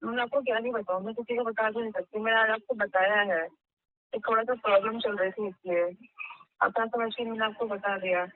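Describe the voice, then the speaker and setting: A woman's voice: a little high, steady tone, a little fast, lightly acted. A woman playing a worried, apologetic tenant, speaking in a hesitant, placating way in a staged phone call drama. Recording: narrow tinny phone line.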